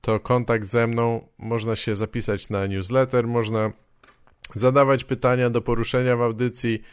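A man hosting a podcast, talking casually with a dry, deadpan, joking manner. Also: medium pitch, moderate pace, clear, resonant tone, no room sound. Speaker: podcast host.

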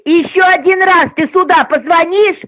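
A woman's voice: very high-pitched, fairly even intonation, moderate pace, a bit bright. A woman in her sixties on a phone call, scolding and insistent, repeating herself. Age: 60s. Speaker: prank call target. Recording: muffled phone line.

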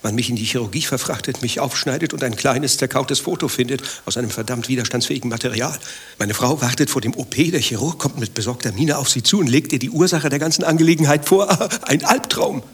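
A man's voice: medium pitch, quick pace, slightly rough. An actor playing an anxious, grumbling married man with a secret lover, telling his troubles in fretful clipped phrases in a satirical radio play. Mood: harried, dramatic. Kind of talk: dialogue-driven satirical radio play acting.

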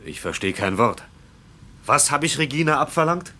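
An actor playing an exacting, self-assured factory engineer in a radio play, speaking formally and curtly. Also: male voice, medium pitch, sing-song, moderate pace, bright, forceful tone. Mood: exasperated. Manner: matter-of-fact, formal, curt. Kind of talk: German radio play acting.

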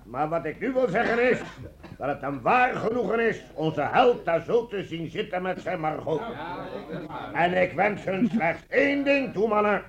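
An excitable captain rallying his troops. The voice sits high and carries in a theatrical, declamatory radio drama manner. A crowd is plainly audible around him. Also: normal speed. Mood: rousing, ceremonious.

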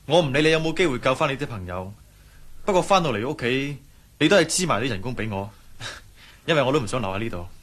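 Hired sidekick: blunt, reluctant, Hong Kong Cantonese, medium pitch, rough-edged husky tone, radio drama. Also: male voice, expressive, fast, fairly bright tone. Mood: blunt, awkward. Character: Ngai Pak, blunt, reluctant hired male helper.

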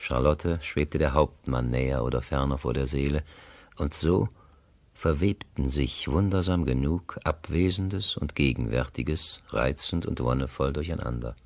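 A man narrating a literary radio play, grave, composed and deliberate, on a close studio mic. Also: very deep voice, no room sound. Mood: reflective.

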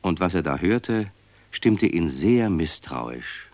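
A man narrating a children's radio play as a genial storyteller, in an expressive, measured way. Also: sits low, no echo, heavily acted. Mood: genial, amused.

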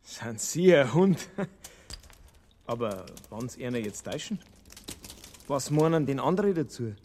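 An actor playing an eager, excitable helper to an inspector, with a Bavarian accent, in a radio crime drama full of reverberation. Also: male voice, mid-range voice, takes it slowly, a little hiss or hum. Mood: questioning. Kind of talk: German radio crime drama acting in dialect. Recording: long hall-like reverb.

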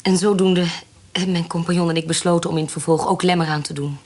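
A woman's voice: fairly low pitch, fairly even intonation, fast talker, a little mellow, close to an everyday voice. An actress playing a cunning young woman who poses as a widowed baroness, poised and politely formal, in a radio drama. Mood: poised, demure.

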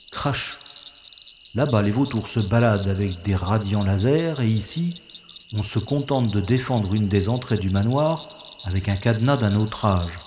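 A man's voice: lowish voice, darkish tone, a little hiss or hum, natural voice. An actor playing a wry male secret agent who narrates his own sneaking through a manor in a sci-fi audio drama. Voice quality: dry, ironic inner monologue. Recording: echoey room with long reverb.

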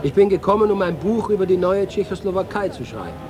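An actor playing an ageing, nostalgic and proud reporter home from exile, speaking expressively in a literary radio-feature manner. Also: male voice, high voice, sing-song, quick pace. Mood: proud, assured. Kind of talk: radio feature dramatic monologue.

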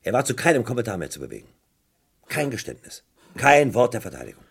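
An actor playing a dogged, insistent British police detective in a German radio crime drama, theatrical and emphatic, with a gravelly voice. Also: male voice, mid-range voice, very animated, fast, heavily acted. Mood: bitter, reflective.

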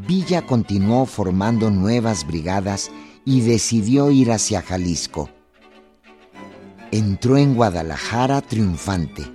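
A man's voice: lowish voice, dark tone. A man narrating history from a script for a radio drama. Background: string music.

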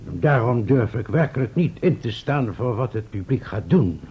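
An actor playing a pompous, wordy town mayor in a radio play, speaking formally. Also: male voice, medium pitch, animated, moderate pace, husky. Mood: formal, uneasy. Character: pompous, self-pitying, hesitant town mayor. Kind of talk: old Dutch radio play acting. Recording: muffled, noisy old radio recording.

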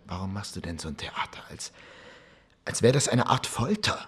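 A husky, low-voiced weary husband. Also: male voice, big pitch swings, moderate pace, a bit bright, heavily acted. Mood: pleading.

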